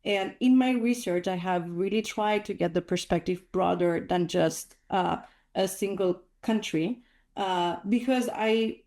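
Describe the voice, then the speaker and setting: A woman's voice: medium pitch, a little slow, darkish tone. A woman from Chile giving a conversational conference talk, full of "like" and "uh" fillers. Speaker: invited speaker.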